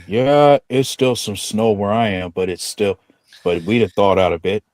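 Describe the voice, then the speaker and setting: Male sports panelist, lowish voice, halting casual delivery, dry close sound. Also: darkish tone, no room sound.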